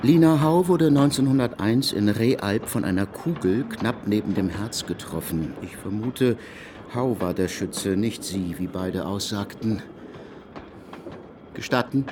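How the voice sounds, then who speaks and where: An actor playing a self-assured, probing criminologist in a German radio play, speaking formally and precisely. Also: male voice, lowish voice, noisy background, lightly acted. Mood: formal, assertive.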